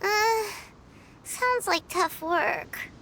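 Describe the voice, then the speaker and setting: A woman voicing a tiny childlike fairy companion in a video game, squeaky, curious and blunt. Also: extremely slow, bright, forceful tone, a little hiss or hum, exaggerated voice. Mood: puzzled, curious. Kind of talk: video game character dialogue.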